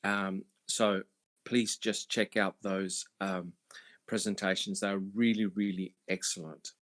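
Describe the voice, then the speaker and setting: A man hosting a casual panel podcast, speaking haltingly with many fillers. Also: low voice, flat delivery, a bit bright. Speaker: podcast host.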